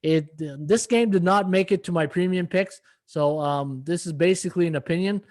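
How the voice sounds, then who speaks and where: A slightly hoarse middle-aged man hosting a live sports betting podcast, rambling through stats and viewer comments with many fillers. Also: high voice, moderate pace, a little mellow. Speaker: podcast host and sports handicapper. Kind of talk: casual live-stream betting analysis.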